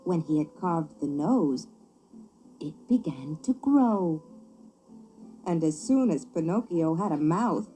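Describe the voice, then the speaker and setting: A woman reading a children's story as scripted narration, with an expressive, theatrical delivery. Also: deep voice, slow pace, long pauses, slight background noise, poor audio.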